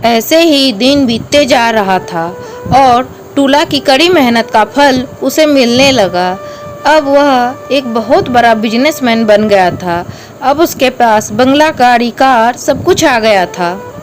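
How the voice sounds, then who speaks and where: Woman narrator for a moral story, higher-toned, very measured pace. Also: expressive, soft background music.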